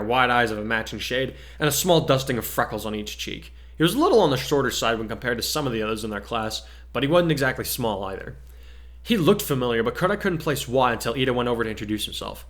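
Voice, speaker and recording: A man's voice: medium pitch, a bit bright. A man reading scripted fiction aloud as a narrator, in a dramatic story-reading style.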